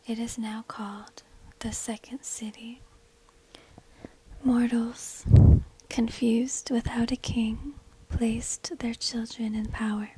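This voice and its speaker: A woman whispers a scripted story for ASMR, extremely breathy and without voicing. Her delivery is slow and deliberate, with very little melodic movement, as she narrates. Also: on the high side, dark tone, low-quality recording. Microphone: close binaural microphone.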